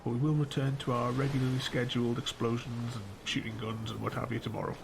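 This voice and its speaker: A man's voice: mid-range voice, level tone, fast. A man hosting a livestream playthrough, chatting casually to his viewers as he comments on the game. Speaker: game streamer, host. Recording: clear background noise under voice.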